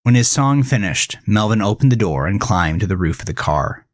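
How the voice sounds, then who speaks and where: A man narrating a scripted horror thriller in a dramatic, deliberate manner. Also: on the low side, expressive, no room sound, studio quality.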